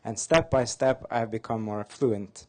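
A man's voice: on the low side, measured pace. Casting: man from Norway, native Norwegian speaker, conference presenter, upbeat and light-hearted. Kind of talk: fast-paced slide talk. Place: conference hall.

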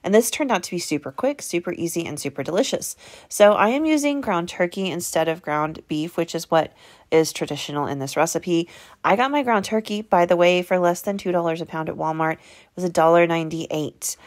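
An American woman with a fairly low voice talks through home cooking in a casual voice-over. She is chatty and folksy, with an enthusiastic way of speaking. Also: brisk.

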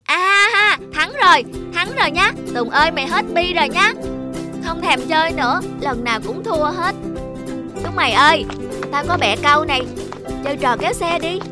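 A woman voicing a lively, eager little village boy in a cartoon, excitable and loud. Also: very high voice, normal speed, over music. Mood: excited. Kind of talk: dubbed cartoon acting.

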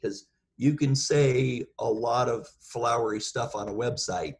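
A man giving an informal expert talk over a video call, explaining things in a halting, measured way. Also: mid-range voice. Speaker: guest speaker, seafood industry professional.

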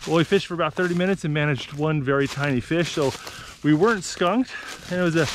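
A man in his thirties hosting an outdoor vlog, explaining bushcraft skills in a relaxed, practical way while out in a forest. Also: on the high side, fast, noisy background. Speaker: outdoor channel host. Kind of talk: casual outdoor vlog narration. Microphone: action camera, worn on the body or close up.

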